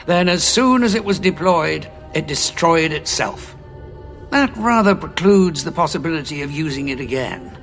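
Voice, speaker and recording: An actor playing a grim, weary time-travelling war veteran who speaks in a cold, measured, self-assured way. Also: male voice, a little high, expressive, a bit bright, soft background music. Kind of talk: audio drama acting.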